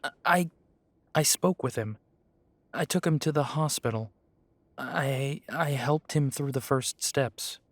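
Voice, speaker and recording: A man performs a young half-breed werewolf for an audio drama. His voice sits at a middle pitch, unhurried and recorded very dry. He sounds guarded and blunt, with a hesitant, self-doubting defensiveness. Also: no echo. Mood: flustered, denying. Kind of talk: scripted roleplay audio drama.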